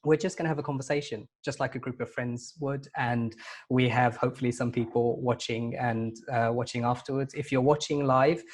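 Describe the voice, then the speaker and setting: A man hosting an online panel discussion, introducing guests in a relaxed way with many ums and restarts. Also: medium pitch, a little fast. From United Kingdom. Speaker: panel host.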